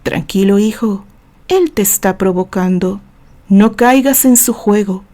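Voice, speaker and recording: A Mexican woman voicing a protective older uncle, hushed and steadying, in an audio novel. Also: mid-range voice, unhurried, lightly acted. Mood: calming, urgent. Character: protective older uncle calming his hot-headed nephew.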